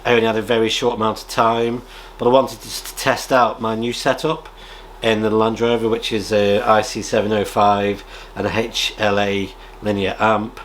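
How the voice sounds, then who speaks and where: A British man chatting casually as a radio hobby vlogger, rambling in a halting way and often clearing his throat. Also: fairly low pitch, level tone, a bit bright, slight background noise. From United Kingdom. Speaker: amateur radio vlogger and presenter. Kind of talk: casual rambling chat, reading out viewer comments.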